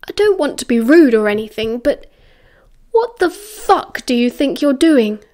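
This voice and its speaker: Woman as a teenage boy, high voice, blunt, exasperated, scolding, loyal, storybook drama. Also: animated, a little fast, warm tone, close to an everyday voice. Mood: stern, disbelieving. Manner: blunt, exasperated, British slang and swearing. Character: indignant teenage schoolboy, loyal, scolding his best friend.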